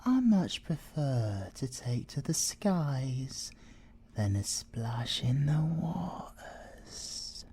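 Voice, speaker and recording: A man's voice: mid-range voice, big pitch swings, warm tone, slightly rough, heavily acted. A British man playing a playful, possessive, seductive siren villain in a theatrical ASMR audio roleplay. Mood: boastful, flirtatious. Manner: teasing, theatrical, drawn-out delivery with drops into whispering.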